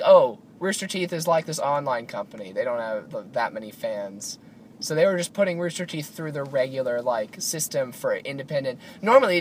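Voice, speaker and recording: A sick man with a raspy, slightly high voice talks casually from behind the wheel, rambling and filling pauses. Steady car road noise runs clearly underneath him. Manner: rambling, lots of fillers. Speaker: podcast host. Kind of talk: casual chat while driving. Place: inside a moving car.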